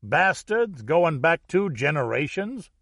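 A man's voice: on the high side, sing-song, slow, a bit bright, dry recording, clean studio sound, voice pushed up, heavily acted. A man voicing a large, coarse man from Louisiana with a blunt, drawling way of talking, in an audiobook thriller. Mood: outraged.

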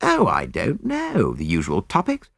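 Man playing a high, breezy young British captain. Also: huge pitch swings, gravelly, fairly bright tone, no echo, studio quality, exaggerated voice. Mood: breezy, chatty. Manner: brisk, clipped, breezy upper-class chatter. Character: eager young male British army captain, slightly uneasy.

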